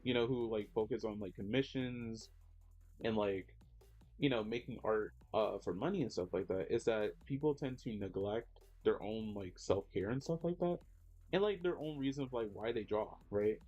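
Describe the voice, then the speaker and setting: A man in his thirties streaming as a digital artist, chatting casually with his viewers while he draws. He rambles and uses lots of fillers, and his voice jumps up in pitch when he reacts to the chat. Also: normal speed, dark tone, dry recording, muffled recording. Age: 30s.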